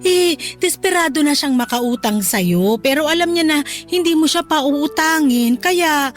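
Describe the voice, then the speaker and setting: A woman playing a placating mother of grown children in a radio drama, gently pleading with a Filipino accent. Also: high voice, expressive, a little slow, faint music behind.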